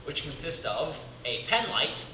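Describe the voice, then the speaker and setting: A man acts out a devoted, weary big brother in a theatrical monologue. His voice is fairly high and swings widely, with deliberate pauses between short phrases. It is heard from a distance over clear noise in an echoey room. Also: sing-song, sharp tone, full character voice. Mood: uneasy, reflective. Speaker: amateur drama club actor.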